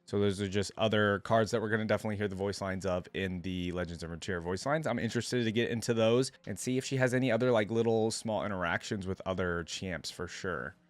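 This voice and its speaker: A man's voice: lowish voice, fast, hardly any pauses, no room sound. A man doing casual reaction commentary, thinking aloud in laid-back, slangy language. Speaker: reaction video creator and commentator.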